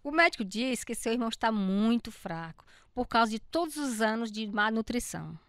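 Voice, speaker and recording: Bright, high-voiced strict farm aunt. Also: female voice, animated, normal speed, no room sound, studio quality. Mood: concerned. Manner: commanding, emphatic. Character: strict, brisk farm aunt who gives the orders. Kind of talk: radio drama acting.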